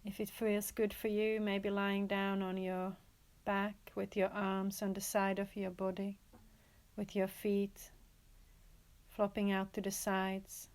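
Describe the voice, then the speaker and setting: A woman teaching yoga nidra, guiding a relaxation in a gentle, soothing manner with pauses and repeated, inviting phrases. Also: medium pitch. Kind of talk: guided relaxation meditation.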